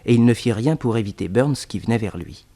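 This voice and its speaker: A male narrator of a radio detective serial. His voice sits fairly low, and he tells the story in a scripted, dramatic style. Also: expressive, a little fast, warm tone.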